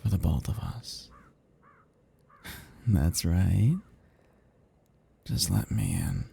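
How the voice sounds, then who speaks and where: A man playing an ancient, flirtatious, possessive vampire in an intimate ASMR roleplay, speaking to the listener softly and huskily in a halting, teasing, casually menacing way. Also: sits low, exaggerated voice. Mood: casually threatening. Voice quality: soft, husky, gravelly.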